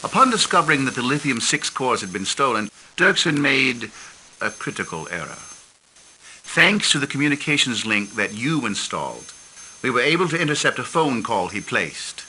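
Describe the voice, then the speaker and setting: A stern intelligence chief briefs a commander over a video link, formal and measured. His voice is bright and forceful, rising and falling widely, and his lines sink low at the ends. A faint hiss sits under the recording. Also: very animated, low-quality recording. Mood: grave. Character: grave, formal middle-aged male intelligence chief. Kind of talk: game cutscene briefing.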